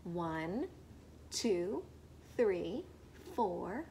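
A young woman teacher reading to small children, expressive and full of questions to her listeners. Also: on the low side, very slow, slight background noise. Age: young adult.

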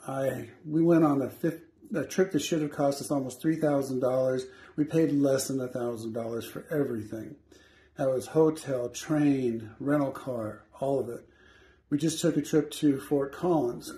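A man's voice: medium pitch, mellow, slightly rough, no room sound. A man in his fifties, a travel vlogger, giving a plain, matter-of-fact narration. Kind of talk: casual campground tour narration. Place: moving vehicle. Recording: faint car noise behind.

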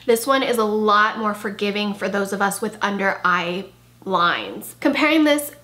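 A woman's voice: mid-range voice, lively intonation, normal speed, sharp tone. Chatty woman reviewing makeup, losing her voice. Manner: chatty, enthusiastic, with frequent "like" and "really". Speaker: beauty vlogger. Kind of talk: casual product review. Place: bedroom with clothing rack, indoors.

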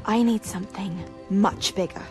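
A woman's voice: medium pitch, moderate pace, a little mellow, faint music behind, natural voice. An actress playing an earnest, determined witch in a video game cutscene. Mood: guarded, persuasive.

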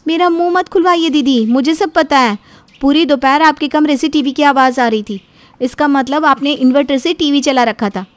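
Woman acting a high-voiced, sassy young daughter-in-law. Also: normal speed, no echo, lightly acted. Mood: complaining. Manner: sassy, complaining. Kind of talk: animated Hindi family story dialogue.

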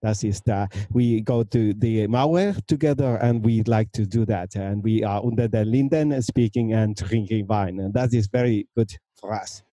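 A man's voice: on the low side, normal speed, few pauses, dark tone, no room sound, close to an everyday voice, low-quality recording. A man putting on a comic German accent, speaking broken, boastful English. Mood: hammy. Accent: mock German.

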